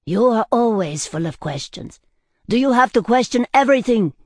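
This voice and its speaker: A man's voice: sits high, lively intonation, unhurried, gravelly, a little mellow, husky, no echo, studio quality, exaggerated voice. A man voicing a blunt but kindly tavern owner, exasperated and protective, in an audiobook style. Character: blunt, worried, kindly male tavern owner, scolding a friend. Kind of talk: audiobook character acting.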